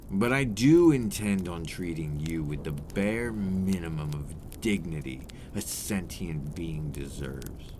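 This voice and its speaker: A man's voice: low-pitched, noisy background. A man playing a brooding, possessive old vampire in an ASMR roleplay, speaking formally and theatrically and dropping into whispers.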